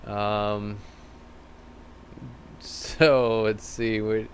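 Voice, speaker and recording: A man with a slightly rough, tired voice hosting a live stream, rambling casually and thanking viewers by name. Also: lowish voice, level tone, drawn-out words, slight background noise. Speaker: livestream host. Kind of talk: casual live-stream chat reading comments.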